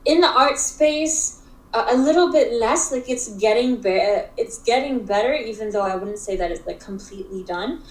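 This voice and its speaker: A young woman from Africa, a guest in a casual interview, speaks in halting phrases full of fillers and restarts. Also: fairly high pitch. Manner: halting, filler-heavy speech with frequent restarts. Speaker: interview guest, digital art expert. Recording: roomy echo.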